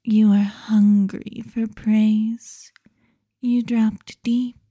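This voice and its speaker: A woman hypnotist speaking slowly in a hushed, commanding way, often dropping into a whisper, close to the microphone. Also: mid-range voice, level tone, no echo, muffled recording.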